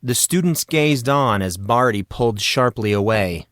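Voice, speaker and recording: A man's voice: mid-range voice, lively intonation, measured pace, a bit bright, no room sound, studio quality. A man reading scripted fiction narration as the narrator of an audio story.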